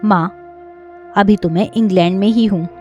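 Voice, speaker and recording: A woman's voice: medium pitch, fairly even intonation, normal speed, darkish tone, lo-fi sound, soft background music, lightly acted. A woman voicing an earnest, devoted adult son, a doctor, in a plain-spoken storybook telling. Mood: reassuring.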